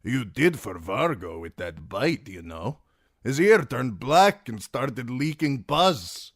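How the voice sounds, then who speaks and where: A man's voice: medium pitch, sing-song, slow, husky, no echo, clean studio sound, pitched above the performer's own voice, heavily acted. A man doing a sneering sellsword with a Dornish drawl. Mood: telling. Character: sly male sellsword with a drawl, mocking.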